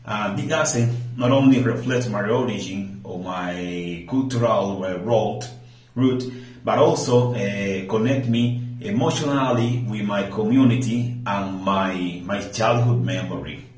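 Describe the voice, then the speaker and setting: Slow halting man with Dominican accent. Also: fairly low pitch, a little mellow, roomy sound, soft background music, rough recording. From Dominican Republic. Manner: hesitant, halting, frequent restarts while searching for words. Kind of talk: prepared speaking-practice monologue.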